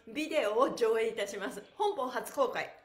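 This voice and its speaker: A woman's voice: fairly high pitch, animated, normal speed. A middle-aged woman, an effusive, playful and encouraging stretch instructor chatting to her livestream viewers. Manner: effusive, encouraging, playful, frequent laughing exclamations. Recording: echoey bare room.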